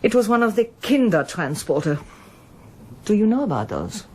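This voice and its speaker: A woman's voice: mid-range voice, very animated, normal speed, warm tone, breathy edge. An actress playing a reserved, wistful older woman, a former pianist, in a radio drama, speaking with hesitant understatement. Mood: subdued, confiding. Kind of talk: radio drama acting, monologue and dialogue.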